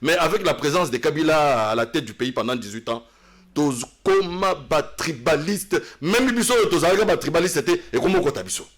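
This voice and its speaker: A man's voice: fairly high pitch, expressive, brisk. A man with a Congolese French accent answering interview questions, switching between French and Lingala, often restarting his sentences. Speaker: politician guest.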